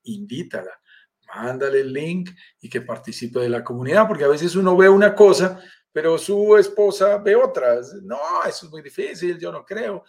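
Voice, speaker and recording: A man's voice: on the high side, lively intonation, normal speed, vocal fry, darkish tone. A man with a Colombian accent, presenting a live stream over a video call in an enthusiastic, welcoming and persuasive way. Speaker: live stream host, sales director.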